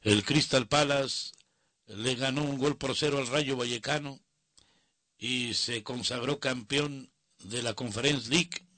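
A Mexican man hosts a sports radio show in a sharp, bright voice. He talks casually and gives his opinions in colloquial, grumbling asides. The sound is close and very dry, as in a radio studio. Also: medium pitch, measured pace, dry recording.

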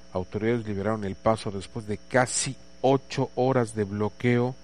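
A man's voice: fairly low pitch, a little mellow, slight background noise. A man with a Mexican Spanish accent hosting a morning radio show, chatting casually, reading listener messages and news with many fillers.

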